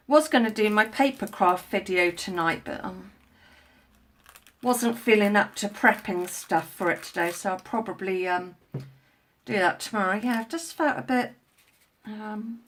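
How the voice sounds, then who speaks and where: Woman with a head cold. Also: medium pitch. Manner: rambling, chatty, many fillers and restarts. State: congested with a head cold, coughing. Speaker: craft vlogger. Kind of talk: casual live-stream chat while crafting. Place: at home indoors. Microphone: tablet.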